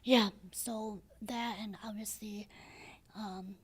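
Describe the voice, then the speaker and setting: A woman in her thirties with a low, raspy, breathy voice, speaking softly and hesitantly as a podcast guest, with many fillers and restarts. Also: fairly even intonation, takes it slowly. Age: 30s. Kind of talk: casual interview talk.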